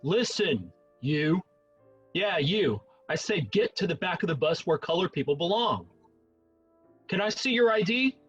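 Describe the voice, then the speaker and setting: A man's voice: high-pitched, sing-song, measured pace, a bit bright, breathy edge, dull audio, soft background music, exaggerated voice. A man playing an angry, bigoted bus driver who barks orders in a screenplay table read. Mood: angry, commanding. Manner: confrontational, barking.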